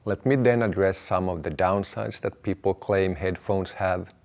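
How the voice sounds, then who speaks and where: A man hosting an explanatory video, talking to viewers in a measured, deliberate way, laying out his points in short phrases. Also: sits low, vocal fry, a little mellow. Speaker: host of an audio hobby video channel.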